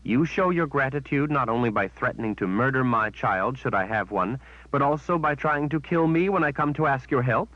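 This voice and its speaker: A man's voice: medium pitch, moderate pace, no echo, pitched above the performer's own voice, natural voice. A man voicing a defiant young woman who speaks in an indignant, accusing manner. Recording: dull, dry sound.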